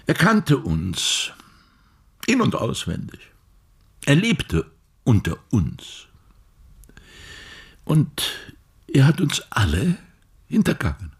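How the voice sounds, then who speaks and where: An actor playing a grave, ailing old physics professor in a German radio play, halting, emphatic and oracular, with a husky, breathy voice. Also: male voice, medium pitch, wildly swooping pitch, full character voice. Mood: ominous, urgent. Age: older.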